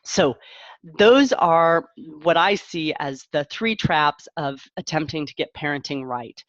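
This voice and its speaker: A woman's voice: deep voice, expressive, unhurried, dry recording, muffled recording. A middle-aged woman talking candidly and reflectively in a casual live stream as a parent coach, often interrupting herself.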